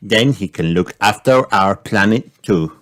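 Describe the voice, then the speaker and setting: A man voicing an eager, bossy little girl pig, excited and exclaiming, in a children's storybook. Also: lowish voice, unhurried. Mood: cheerful, helpful.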